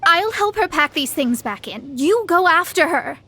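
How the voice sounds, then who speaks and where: A woman's voice: extremely high pitch, animated, moderate pace, pushed and bright, a little hiss or hum, full character voice. A woman playing a proud young female astrologer in a video game, speaking in a haughty, formal, precise and easily exasperated way. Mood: disappointed. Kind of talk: video game character acting.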